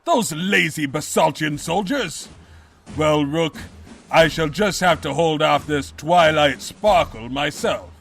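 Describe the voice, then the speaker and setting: A man's voice: fairly high pitch, slow pace, gravelly, a bit bright, a little hiss or hum, exaggerated voice. An actor playing a pompous evil pony king, grandiose yet cowardly, in a bombastic comedic audio drama style. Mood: indignant, grandiose. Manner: bombastic, theatrical declarations.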